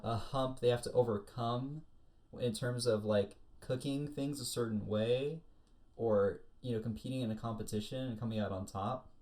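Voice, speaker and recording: A man with a mid-pitched voice talks casually as a podcast co-host. He explains his opinions in a loose, rambling flow, as in a chat among friends. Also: darkish tone. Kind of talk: casual discussion of food anime.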